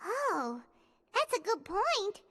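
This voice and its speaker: Squeaky, very high childlike fairy. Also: female voice, very animated, slow pace, over music, full character voice. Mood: curious. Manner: speaks of herself in the third person, exclamatory. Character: small excitable floating fairy companion, childlike, indignant and naive. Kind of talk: video game voice acting.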